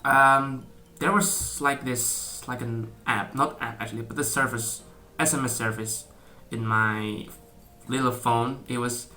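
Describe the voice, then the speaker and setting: Bright-voiced young Indonesian man, hesitant. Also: medium pitch, steady tone, measured pace, few pauses, soft background music. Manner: hesitant, many fillers and restarts, laughs often. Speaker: vlogger. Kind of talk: casual talk to camera.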